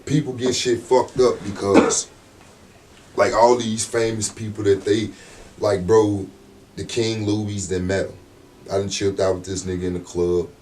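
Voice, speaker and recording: A deep, low-pitched man talks in a loose, easygoing interview, full of slang and constant check-ins with his listener. He sounds set back from the mic. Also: steady tone, measured pace, a little mellow, distant mic, slight background noise. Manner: laid-back, slangy, repeats "you know what I'm saying". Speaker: rapper guest. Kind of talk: casual interview talk.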